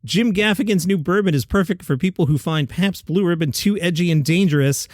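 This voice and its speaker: A man's voice: sits high, brisk, dry recording. A man hosting a comedy news podcast, reading headlines with dry offhand asides, his voice a little worn. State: slightly worn voice.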